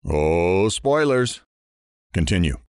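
A man's voice: low voice, very animated, slow, a little mellow, clean tone, no room sound, clean studio sound, exaggerated voice. A man playing a wry radio talk show host in an audio drama.

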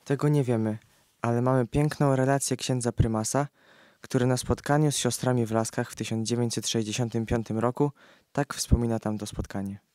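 A man's voice: mid-range voice, steady tone, fairly quick, a little mellow, dry recording. A man reading scripted narration in a radio play.

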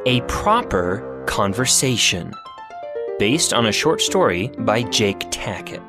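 A man's voice: on the low side, big pitch swings, takes it slowly, over music. A man announcing scripted credits for an audio drama. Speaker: announcer and narrator.

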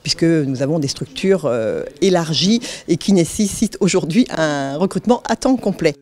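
A woman answering an interview as a council president, measured and hesitant. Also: sits low, expressive, warm tone. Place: large meeting hall, indoors. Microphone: handheld interview microphone.

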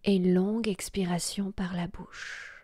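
A woman guiding a relaxation meditation in a soft, breathy, half-whispered voice, hushed and soothing, with long pauses. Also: lowish voice, little pitch movement, dry recording, clean studio sound. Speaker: yoga nidra guide.

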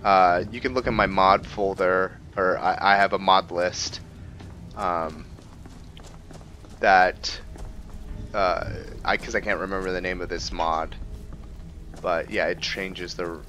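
Low, bright-voiced man narrating gameplay. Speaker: let's play host. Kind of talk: casual game commentary with role-play. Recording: dull lo-fi mic, faint noise.

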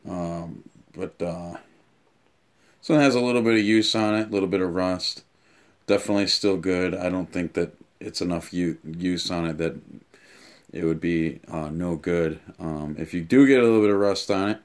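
Low-voiced, slow-talking casual man. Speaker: tool reviewer and vlogger. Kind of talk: casual unboxing and review talk. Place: small home room, indoors.

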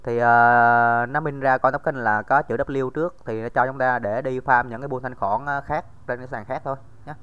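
A man's voice: mid-range voice, fairly even intonation, normal speed, hardly any pauses, clean tone, dull audio. A man giving a casual screen-recorded tutorial, talking straight to viewers with many fillers. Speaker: crypto tutorial host.

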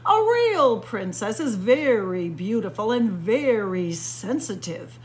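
A woman's voice: mid-range voice, big pitch swings, slow, slight background noise. A woman reading a storybook, voicing a proud married prince who gives advice in an emphatic, instructive way. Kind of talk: storybook read-aloud.

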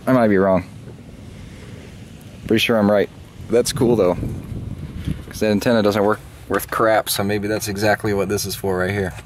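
A man's voice: fairly low pitch, fairly even intonation, moderate pace, long pauses. A man from the American South chatting casually and thinking aloud as he works on his car, offhand and a little profane, recorded on a handheld camera. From southern United States. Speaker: car vlogger. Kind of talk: casual rambling chat while working on a car. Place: outdoors at an open car trunk. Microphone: handheld phone or camera mic. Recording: noisy handheld recording with clicks and clunks.

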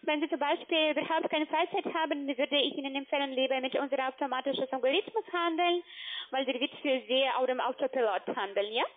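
A woman with a high voice and a foreign accent speaks on a thin phone line. She is a non-native speaker, polite, working through a telephone sales script. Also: fast talker. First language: not German.

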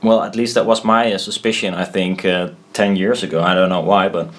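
A man giving an informal tutorial at a desk, thinking aloud in a halting, self-deprecating way. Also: low voice, little pitch movement. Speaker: presenter explaining loudspeaker technology. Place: indoors at a desk.

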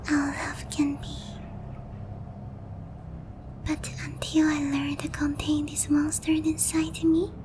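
A woman voicing an obsessive, lovesick young vampire princess in breathy, intimate roleplay ASMR. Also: sits high, background noise. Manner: breathy, pleading, slow and intimate.

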